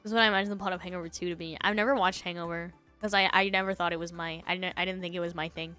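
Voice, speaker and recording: A woman hosting a livestream, chatting casually and reacting to online stories in a giggly, animated way. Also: on the low side, racing, smooth, faint music behind. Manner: giggly, animated, breaking into laughter. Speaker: livestream host.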